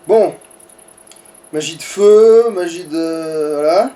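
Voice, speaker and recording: A man's voice: on the high side, slow, warm tone. A man chatting casually as he plays a game, swearing and taunting his enemies. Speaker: let's player. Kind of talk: casual gameplay chat.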